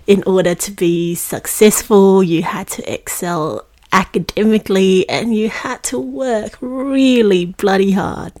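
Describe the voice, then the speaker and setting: A woman's voice: mid-range voice, darkish tone. A woman in her thirties from Singapore, hosting her own podcast as a teacher wellbeing coach in an earnest, reflective monologue on a close mic.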